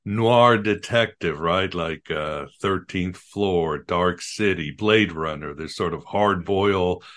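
A man's voice: sits low, slow, a bit bright. A man hosting a podcast, chatty and enthusiastic in casual conversation. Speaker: podcast host.

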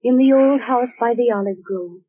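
An actress playing a prisoner's devoted wife as an imagined voice, soothing and solemn in old radio drama style. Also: female voice, fairly high pitch, moderate pace, slightly rough. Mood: visionary, solemn. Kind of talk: 1940s radio drama acting. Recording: dull vintage radio drama recording.

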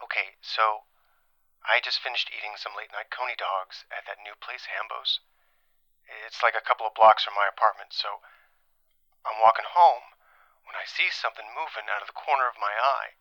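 An actor playing a rattled, defensive late-night radio caller in an audio drama, speaking haltingly and colloquially through a telephone filter. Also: male voice, lowish voice, sharp tone, no room sound. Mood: uneasy, hushed. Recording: muffled, narrow phone-line sound.

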